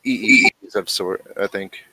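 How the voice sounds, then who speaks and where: Slow deep-voiced guy game mastering a tabletop rpg, keeps hunting for words, dry sound. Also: pushed and bright, no room sound, poor audio. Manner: halting, searching for words. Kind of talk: narrating a tabletop role-playing game.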